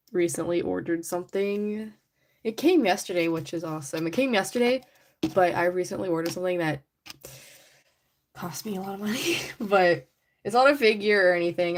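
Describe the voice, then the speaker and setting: A young woman hosting a casual livestream, chatty and giggly, her voice a little raspy from allergies. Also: lowish voice. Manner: chatty, giggly, rambling with many "like"s. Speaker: livestream host. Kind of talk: casual livestream chat while painting a figure. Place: indoors at home.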